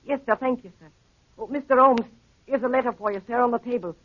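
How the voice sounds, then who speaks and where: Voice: medium pitch, fast, natural voice. An actor playing a polite, eager young servant boy in a 1930s radio drama. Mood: dutiful. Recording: dull vintage 1930s radio recording.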